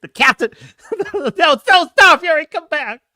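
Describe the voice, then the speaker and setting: A man's voice: very high-pitched, lively intonation, a little slow, sharp tone, dry recording, studio quality. A man hosting a casual livestream, chatting and reading viewer comments, with a self-described whiny, nerdy voice, rambling and laughing often. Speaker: host.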